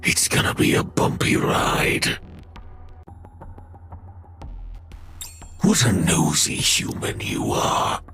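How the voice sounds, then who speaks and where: An actor playing a menacing machine villain in a video game, mocking and condescending toward humans. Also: male voice, extremely low pitch, wildly swooping pitch, measured pace, sharp tone, faint music behind, full character voice. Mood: cold menace. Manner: taunting, condescending. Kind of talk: dramatic video game voice acting.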